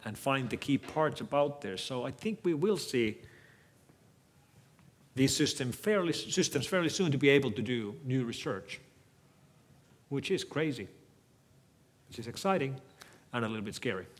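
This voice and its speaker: A middle-aged man whose native language is Finnish, giving a measured, thoughtful keynote talk on stage in a lecture hall, speaking into a headset mic. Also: mid-range voice, expressive. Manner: measured, thoughtful, frequent restarts. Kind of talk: conference keynote with audience Q&A.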